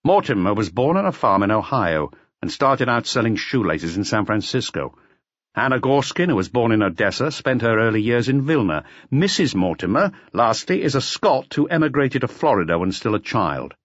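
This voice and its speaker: A gruff middle-aged police inspector acted by a man in a crime audiobook, brooding and worldly. He explains emphatically, his pitch moving widely, in a very dry, slightly dull recording. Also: sing-song, moderate pace, no room sound. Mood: serious, emphatic.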